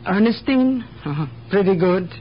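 A woman's voice: mid-range voice, lively intonation, normal speed, close to an everyday voice. Actress as a guarded immigrant woman, muffled radio drama. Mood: confiding. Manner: hesitant, shrugging, broken English. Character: guarded, world-weary immigrant neighborhood woman. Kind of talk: 1970s radio drama acting. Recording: dull, noisy old radio recording.

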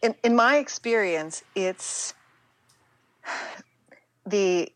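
A middle-aged American woman speaking reflectively as an interview guest, halting and emphatic, often acting out her own inner dialogue. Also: mid-range voice, lively intonation, a bit bright, dry recording. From United States, Pittsburgh, Pennsylvania.

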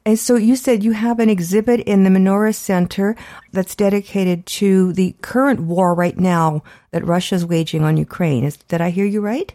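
A woman hosting a radio interview and reading the show's closing announcements, friendly and quick to laugh. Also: medium pitch, dark tone, dry recording, studio quality. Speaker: radio host. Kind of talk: radio interview and show outro.

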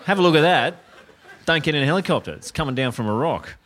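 A man with an Australian accent co-hosting a live comedy podcast in front of an audience, blunt and sweary with deadpan asides. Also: a little high, fast talker. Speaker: podcast co-host.

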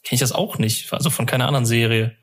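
A man's voice: medium pitch, fast talker, slightly rough. A man hosting a fan podcast, chatting casually and thinking aloud in a colloquial, hesitant way.

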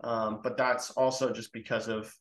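A man's voice: mid-range voice, flat delivery, normal speed. Young man talking in an echoey room. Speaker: lost media researcher. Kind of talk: casual podcast conversation. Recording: roomy, echoey sound.

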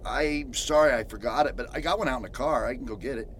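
A man's voice: medium pitch, fast, a bit bright, a little hiss or hum, lightly acted. An actor playing a nervous, paranoid male patient who stammers and rambles, in old-time radio horror style. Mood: apologetic, paranoid. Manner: stammering, jittery, chatty.

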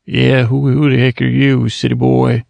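A man doing a gruff old farmer. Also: medium pitch, flat delivery, moderate pace, darkish tone, no room sound. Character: gruff old male farmer, stern and stubborn.

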